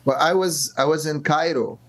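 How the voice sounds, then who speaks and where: A man from Lebanon, a professor of Middle Eastern studies, speaking as an interview guest with frequent fillers and restarts. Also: on the high side. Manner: halting, many restarts and fillers.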